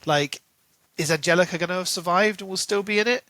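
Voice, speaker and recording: A man's voice: high voice, fast talker, bright, forceful tone, no echo. A man co-hosting a book podcast, speculating eagerly in a casual, conversational way. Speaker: podcast co-host.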